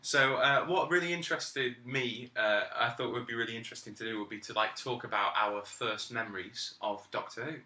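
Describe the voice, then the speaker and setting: Man, podcast host, sharp bright voice, hesitant and joking, casual chat, roomy amateur recording. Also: medium pitch, a little fast, hardly any pauses. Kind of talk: casual, jokey group chat.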